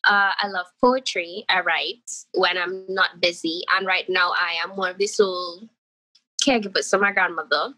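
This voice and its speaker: A young woman from Trinidad introducing herself on a video call, listing facts in a measured, plain way. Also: on the low side, steady tone, sharp tone, faint music behind, low-quality recording. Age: young adult. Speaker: podcast guest. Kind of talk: casual self-introduction.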